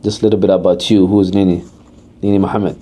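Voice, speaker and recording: Guy hosting a podcast, warm low voice, chatty, you know every other line. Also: level tone, fast talker. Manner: friendly, loose, says you know a lot. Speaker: podcast host. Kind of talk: casual podcast interview.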